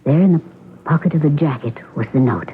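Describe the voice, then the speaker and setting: A woman's voice: low voice, animated, brisk. Through a narrow, faintly noisy old radio recording comes an aging stage actress in a mystery play. She is poised and sardonic, choosing her words with theatrical, ladylike care. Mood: sorrowful, confessing. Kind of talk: radio drama acting.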